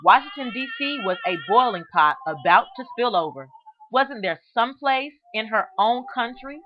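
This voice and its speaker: A woman reads a children's storybook aloud as narrator, with measured, expressive storytelling and deliberate phrasing. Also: a little high, noisy background. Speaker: reader of a children's book aloud.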